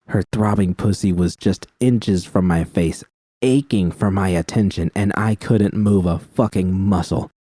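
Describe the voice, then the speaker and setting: Deep-voiced man reading fan fiction. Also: measured pace, dark tone, no echo, clean studio sound. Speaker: reader. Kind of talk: first-person fan fiction narration.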